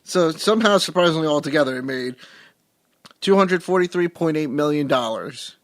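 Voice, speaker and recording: A man's voice: on the high side, expressive, moderate pace, no room sound, clean studio sound. A man co-hosting a casual movie podcast, reading out budget figures plainly and steadily. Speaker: podcast co-host.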